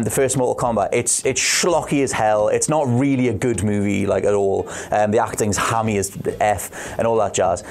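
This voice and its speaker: A man's voice: lowish voice, a little mellow. A man presenting casual opinions in a rambling, enthusiastic, slangy way. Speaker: video channel presenter. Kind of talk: casual chat, film opinion.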